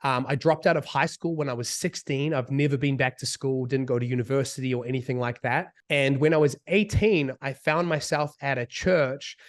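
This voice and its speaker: A man in his thirties with a New Zealand accent, a little sick and husky, talking slowly and deliberately about his path in business. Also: mid-range voice, fairly even intonation. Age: 30s. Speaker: business coach. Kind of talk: casual talk as a guest.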